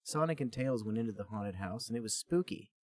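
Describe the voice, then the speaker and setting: A man's voice: lowish voice, lively intonation, a little fast, darkish tone. A man co-hosting a podcast, reading a story aloud in a mock-serious, dramatic comic style. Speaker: podcast co-host and reader.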